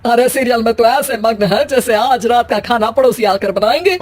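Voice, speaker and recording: A woman's voice: on the high side, moderate pace, darkish tone, lightly acted. A woman voicing a bossy older mother-in-law in a comic family story, scolding and taunting dramatically.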